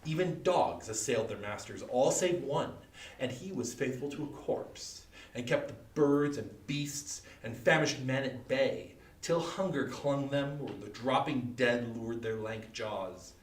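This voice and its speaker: A man giving a solemn dramatic poetry reading, with theatrical pauses. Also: medium pitch. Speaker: volunteer or library staff reader. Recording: echoey room, distant mic.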